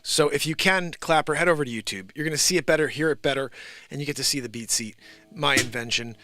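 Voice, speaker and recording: A man's voice: on the high side, fast talker. An American man, a musician hosting a livestream, chatting to viewers in an informal, rambling, slangy way. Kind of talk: casual livestream chat to viewers.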